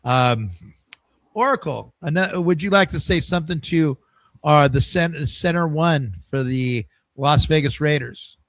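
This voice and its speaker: A man hosting a casual podcast, his voice a touch higher than most men's. He jokes around with guests and talks loosely. The sound is dry and close, with little room echo. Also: unhurried. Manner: chatty and joking, leans on fillers like "I mean" and "allegedly". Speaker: podcast host.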